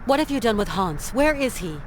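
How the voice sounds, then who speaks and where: Lively, plucky game heroine. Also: female voice, a little high, brisk, a little hiss or hum. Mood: firm. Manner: natural, reassuring, direct. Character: plucky, level-headed adventuring heroine, woman, calm and determined. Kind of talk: video game voice acting.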